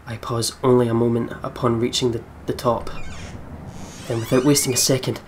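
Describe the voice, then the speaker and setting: A let's play host reading visual novel narration aloud in a dramatic, deliberate way. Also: medium pitch, level tone, slight background noise. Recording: music behind the voice. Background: game music.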